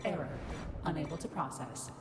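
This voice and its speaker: A woman plays an imperious female machine intelligence from a video game. The voice is low and heavily echoed, with formal, emotionless declarations over clear background music. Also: normal speed, natural voice. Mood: cold, clinical. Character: cold, imperious female computer system defending its programming. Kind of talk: scripted video game voice acting. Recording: echoing, loud game music behind.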